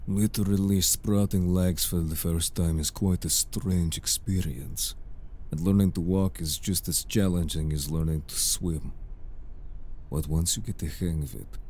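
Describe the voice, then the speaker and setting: A man's voice: sits low, mellow, a little hiss or hum. A man playing a composed, exasperated merman in human form, speaking formally and dryly in a scripted audio drama. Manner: formal, measured, dry. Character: composed, exasperated older-brotherly male merfolk in human form. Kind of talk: scripted audio roleplay acting.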